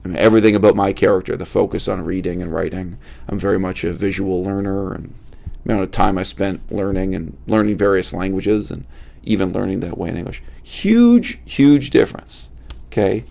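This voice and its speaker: A man's voice: low voice, creaky, darkish tone. A man hosting his own channel, talking straight to his viewers in an unscripted monologue, stressing points by repeating words and asking rhetorical questions. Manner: addresses viewers directly, asks rhetorical questions. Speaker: channel host. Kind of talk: unscripted philosophical monologue to camera.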